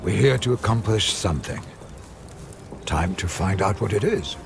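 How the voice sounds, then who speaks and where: An actor playing a wise, wry and devoted servant of a Roman noble house, measured and courteous, in a game. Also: male voice, on the low side, lively intonation, gravelly, over music. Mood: advising. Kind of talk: scripted game dialogue.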